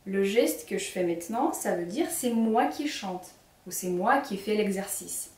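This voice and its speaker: Ukrainian woman teaching, slow and echoey. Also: mid-range voice, lively intonation, darkish tone. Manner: slow, clear, instructive. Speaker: singing teacher. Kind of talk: children's singing workshop. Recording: echoey room, mic at a distance.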